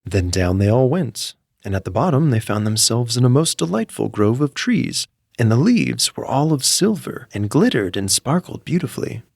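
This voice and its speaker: A man's voice: mid-range voice, sing-song, normal speed, gravelly, few pauses, darkish tone, no echo, clean studio sound. A man reading a bedtime fairy tale as a scripted storybook narrator.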